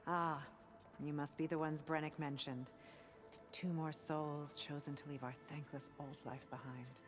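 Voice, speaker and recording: A low-pitched female convert from a video game. She is devout and earnest, with a reverent, wistful way of talking that hesitates at times. Faint music plays underneath. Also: little pitch movement, measured pace. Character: earnest, devout woman cult convert, former marine, wavering. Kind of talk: video game cutscene acting.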